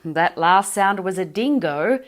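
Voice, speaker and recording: A woman's voice: on the low side, expressive, measured pace. A woman voicing a proud young male lyrebird in a storybook reading, who talks theatrically and mimics bird and animal calls.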